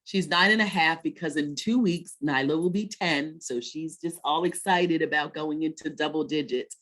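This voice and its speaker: A woman's voice: low-pitched, moderate pace, fairly bright tone. A woman hosting a webinar for children, talking conversationally in an encouraging, teacher-like way with leading questions. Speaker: host of a children's story time webinar.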